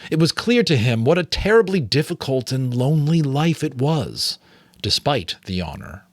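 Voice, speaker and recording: Guy doing a dramatic audiobook read, theatrical, super lively pitch, dry sound. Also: normal speed, dry recording. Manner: expressive, theatrical. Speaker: audiobook reader and host. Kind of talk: dramatic reading with casual asides.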